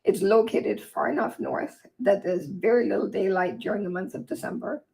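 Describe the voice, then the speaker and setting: Woman host from Denmark, gravelly and croaky, hoarse, chatty livestream talker. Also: mid-range voice, mellow, poor audio. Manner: chatty, sidetracked, fast-talking. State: hoarse from long talking. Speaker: vlog host and author. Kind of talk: casual livestream chat and reading aloud.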